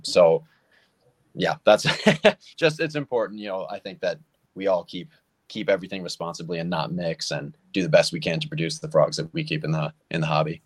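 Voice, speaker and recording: A man answering as an interview guest in a relaxed, rambling way with many fillers. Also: sits low, brisk, husky. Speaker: guest, frog keeper.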